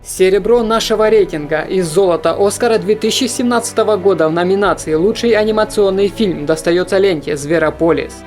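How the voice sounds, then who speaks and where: A narrator reading a scripted countdown of animated films in a steady, informative voice-over. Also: mid-range voice, a little fast, few pauses, soft background music. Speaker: film channel narrator.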